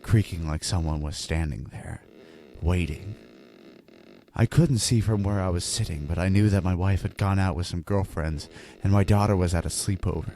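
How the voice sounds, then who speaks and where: A man's voice: low-pitched, moderate pace, warm tone, slight background noise. A man playing a haunted husband and father, narrating a first-person horror story in an ominous, earnest and theatrical manner. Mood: wary, foreboding. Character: haunted husband and father confessing a terrifying ordeal. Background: background music.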